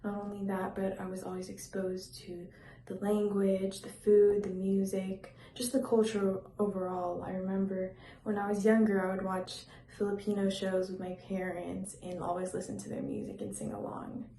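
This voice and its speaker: A woman's voice: medium pitch, little pitch movement, normal speed, dark tone, reverberant. A woman raised in Texas fondly recalling her childhood culture. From born in Florida, raised in Texas. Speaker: interviewee. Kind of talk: personal recollection.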